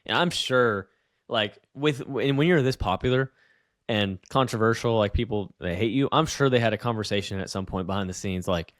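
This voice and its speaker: A young man hosting a casual discussion, speaking earnestly and halting on repeated words. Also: lowish voice, a bit bright, no echo, clean studio sound. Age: young adult.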